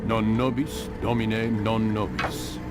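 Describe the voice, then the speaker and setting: A low, authoritative voice for a stern old master of assassins. He speaks in measured, cryptic maxims, as in a dramatic game cutscene. Music plays clearly behind him. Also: level tone. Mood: measured, commanding.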